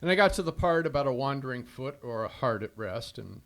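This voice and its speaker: An actor playing a flirtatious, wisecracking singing private detective in a 1940s style radio drama, theatrical and playful on stage. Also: male voice, mid-range voice, lively intonation, fairly quick, a bit bright. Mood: playful, evasive. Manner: playful banter, theatrical, bickering. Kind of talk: 1940s radio drama acting with crooned song. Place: live stage performance in a theater hall.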